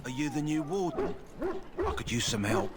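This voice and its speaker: An actor playing a practical middle-aged kennel master in a fantasy video game, talking in a plain, matter-of-fact way. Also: male voice, a little high, expressive, moderate pace, lightly acted. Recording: game audio with clear background noise.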